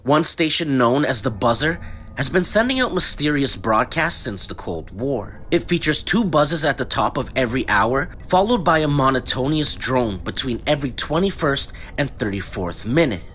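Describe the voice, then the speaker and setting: A man reading scripted mystery documentary narration with measured, dramatic phrasing. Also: medium pitch, sing-song, a bit bright, a little hiss or hum.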